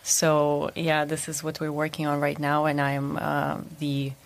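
A woman's voice: sits low, completely flat delivery, unhurried, vocal fry. A young woman raised in Russia and the United States, chatting as an interview guest with frequent ums and restarts. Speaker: event manager, guest. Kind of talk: casual interview talk.

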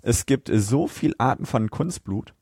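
A man's voice: medium pitch, expressive, measured pace, darkish tone, dry recording, studio quality. A man, co-hosting a podcast in casual chat about a film. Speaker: podcast co-host.

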